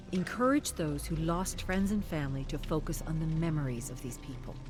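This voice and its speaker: A narrator telling a game's story in a steady, scripted manner. The voice moves about animatedly in pitch, and game music plays clearly underneath.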